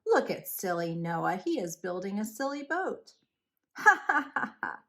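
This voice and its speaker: A woman's voice: mid-range voice, sing-song, moderate pace. A woman voicing a mocking crowd of scoffing townspeople in a children's storybook, with sing-song taunts.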